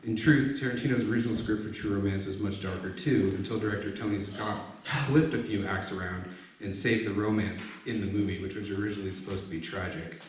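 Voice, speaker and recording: A man's voice: on the low side, steady tone, quick pace. A man in his thirties reading his own essay aloud to a gathering, with informal spoken asides. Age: 30s. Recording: very echoey room, distant mic, faint noise.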